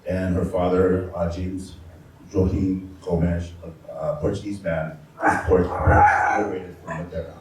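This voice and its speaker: A man in his fifties moderating a panel, reading prepared remarks with halting pauses and frequent fillers. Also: low voice, level tone. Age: 50s. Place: community arts center event room. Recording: echoey room, distant mic, faint noise.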